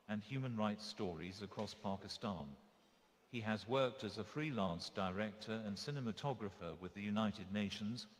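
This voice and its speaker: A man working as event emcee, reading out speaker introductions in a formal, measured way. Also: lowish voice, a little hiss or hum. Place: conference stage in a large hall. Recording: hall reverb.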